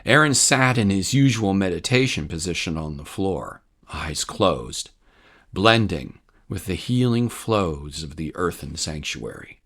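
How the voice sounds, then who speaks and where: A man's voice: deep voice, expressive, measured pace, a bit bright, husky. A man reading scripted story narration as the narrator of a tale. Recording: voice over prominent music bed. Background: music.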